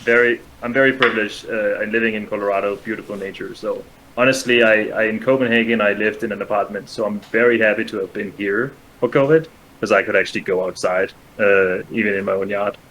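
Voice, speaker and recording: A man's voice: fairly low pitch, steady tone, fairly quick, fairly bright tone, slight background noise, rough recording. A young man whose first language is Danish, a guest in a casual chat, speaking with many fillers and restarts. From Denmark.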